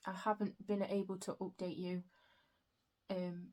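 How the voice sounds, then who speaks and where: A young woman chatting casually about her reading in a book vlog, her throat a bit croaky, with frequent soft fillers. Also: mid-range voice, level tone, measured pace. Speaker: book vlogger.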